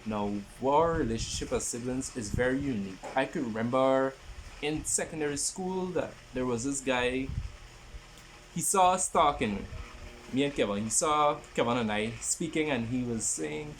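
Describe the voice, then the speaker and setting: A man in his twenties introducing a podcast in a casual, halting way, often repeating his phrases. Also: medium pitch, slight background noise, poor audio. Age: 20s. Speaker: podcast host.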